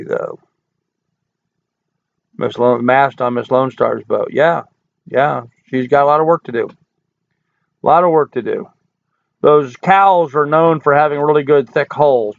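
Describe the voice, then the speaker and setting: An older man hosting a casual live stream, hoarse from hours of talk, folksy and rambling as he answers chat comments. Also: mid-range voice, no echo. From United States. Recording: dry, tinny phone-like audio.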